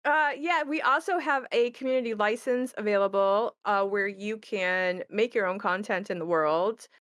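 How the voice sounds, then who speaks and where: A woman's voice: medium pitch, moderate pace, a bit bright, clean tone, no room sound. A woman co-hosting a casual promotional chat about her creative work, with a playful manner.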